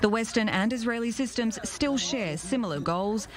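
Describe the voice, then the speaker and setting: A woman reporter reading a scripted news package in a measured, clear way. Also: a little high, lively intonation.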